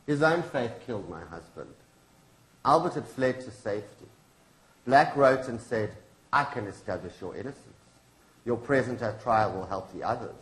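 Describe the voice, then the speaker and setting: A man's voice: medium pitch, expressive, normal speed, a bit bright, natural voice. A man reading the part of a grieving, defiant activist's widow in a staged play, solemn and accusing in manner. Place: indoor launch event venue. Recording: echoey room.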